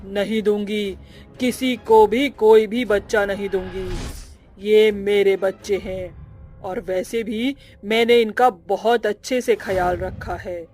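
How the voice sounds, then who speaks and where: A woman's voice: mid-range voice, fairly even intonation, takes it slowly, darkish tone, faint music behind, voice pushed down, close to an everyday voice. A woman voicing a grieving, possessive witch mother in a cartoon horror story, plaintive and insistent. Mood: defiant, possessive. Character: grieving witch mother, possessive yet tender toward children. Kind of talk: Hindi animated horror story acting.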